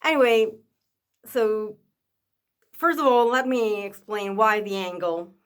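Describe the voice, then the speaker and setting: A woman, chatting casually to a camera held up high, with many fillers and restarts. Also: takes it slowly, smooth. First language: Italian. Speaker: vlogger.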